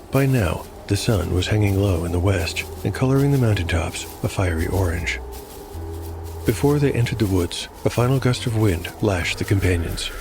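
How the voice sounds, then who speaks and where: A man narrating a solo fantasy role-playing show as game master, in a measured, explanatory scripted style. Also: on the low side, background noise. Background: music.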